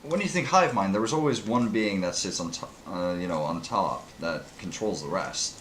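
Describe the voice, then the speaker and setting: A man's voice: low-pitched, moderate pace, fairly bright tone, slight background noise. A young man from Norway with a Norwegian accent, co-hosting a casual podcast chat, rambling and joking. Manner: rambling, jokey, loses his train of thought. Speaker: podcast co-host.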